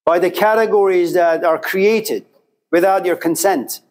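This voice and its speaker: A man's voice: sits high, a little slow. A man of Persian background giving a classroom lecture, speaking rhetorically and driving points home through emphatic repetition. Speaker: teacher.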